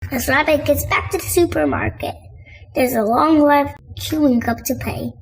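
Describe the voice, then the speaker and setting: A woman reading a children's storybook aloud as narrator, with clear noise in the recording. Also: high voice, moderate pace, poor audio. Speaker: storybook reader and host.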